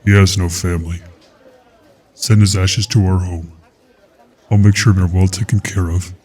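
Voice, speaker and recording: A man's voice: low-pitched, level tone, normal speed, dark tone, recorded from a distance. A man playing a grave, terse alien warrior in an audio drama, imposing, stern and loyal. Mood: grieving.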